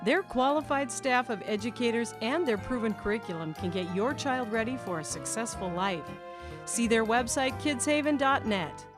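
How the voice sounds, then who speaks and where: A woman's voice: fairly high pitch, animated, moderate pace, over music. An American woman reading a scripted local radio advertisement as an announcer. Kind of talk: scripted ad read.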